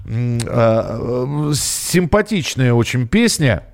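A man's voice: medium pitch, animated. Male radio host, mid-range voice, casual live delivery, hesitant and often feeling for the next word. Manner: halting, hesitant, searching for words. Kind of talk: casual live talk, reading listeners' messages.

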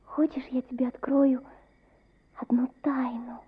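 A shy, coy schoolgirl, high and slow-spoken, confiding softly in an old radio play. The recording is thin and tinny. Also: flat delivery, slightly rough, exaggerated voice. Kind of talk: Soviet radio play acting.

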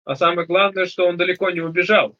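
Guy early 30s, bright punchy voice, casual blunt movie podcast chat, bit muffled recording. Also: sits high, level tone, fast. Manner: blunt, colloquial, swears. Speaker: podcast co-host. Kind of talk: casual film discussion with joking paraphrases.

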